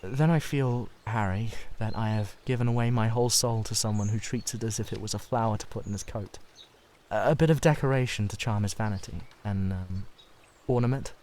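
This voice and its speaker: A man voicing a sensitive, earnest Victorian gentleman painter in a dramatised novel reading, heartfelt, hesitant and pleading. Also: fairly low pitch, fairly quick, a little hiss or hum, natural voice. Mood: wistful.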